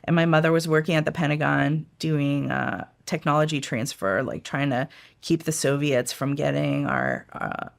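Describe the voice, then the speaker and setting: A woman's voice: low-pitched, normal speed, vocal fry. A middle-aged woman, a former intelligence analyst, speaking casually in an interview, with many fillers and restarts. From United States, raised in Washington DC area. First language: Italian.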